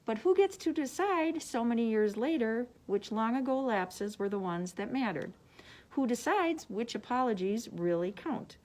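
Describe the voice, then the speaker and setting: Woman librarian with a highish voice, reading out book blurbs and recommending them. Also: a little mellow, poor audio. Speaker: librarian presenting book picks. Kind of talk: book recommendations, partly read aloud from summaries.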